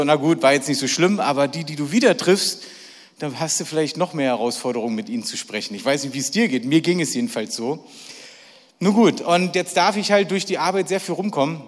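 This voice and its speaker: A middle-aged man preaches to a live church crowd and keeps cracking jokes and throwing questions at them. His voice sits a little high for a man. It rings with long reverb in a big hall. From Berlin, Germany. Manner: chatty, jokey, asks the audience questions. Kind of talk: lively sermon to a live audience.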